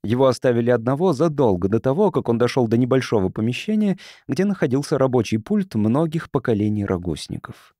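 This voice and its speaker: A man's voice: mid-range voice, warm tone, no echo, studio quality. A man reading a science fiction story as a scripted audiobook narration.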